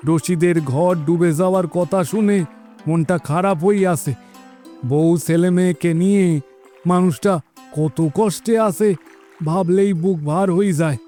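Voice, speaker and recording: A man playing an earnest, sympathetic village fisherman in an animated moral story. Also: high voice, measured pace, soft background music. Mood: concerned, determined. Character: sympathetic village fisherman eager to help neighbours.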